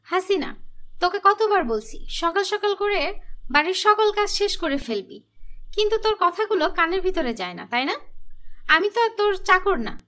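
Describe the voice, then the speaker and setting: A high-voiced, sharp-tongued stepmother from a cartoon fairy tale, acted by a woman. She bullies and commands in a theatrical scold. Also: sing-song, moderate pace, exaggerated voice. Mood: nagging. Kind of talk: cartoon voice acting.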